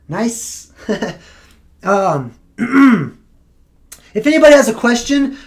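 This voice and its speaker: Man with a fading voice, live streaming. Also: high voice. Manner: rambling, self-correcting, conversational. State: losing his voice. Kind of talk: casual live stream talk.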